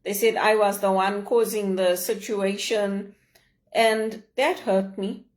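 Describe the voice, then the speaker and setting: A middle-aged woman speaks in a measured, heartfelt way, reflecting on her own experience. Her voice sits at an ordinary mid pitch. She talks things through steadily and earnestly, as in a personal vlog. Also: steady tone. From Zimbabwe. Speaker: leadership vlogger.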